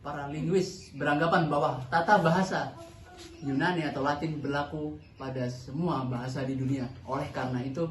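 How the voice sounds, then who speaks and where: A young man from South Sumatra, presenting a class lesson as a student narrator, reading explanations in an earnest, steady way. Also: on the high side, moderate pace, reverberant, slight background noise. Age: young adult.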